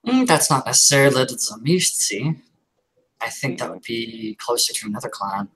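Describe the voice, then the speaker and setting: A man speaking in character in a tabletop roleplay, making dry, deadpan quips. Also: mid-range voice, moderate pace, husky, low-quality recording.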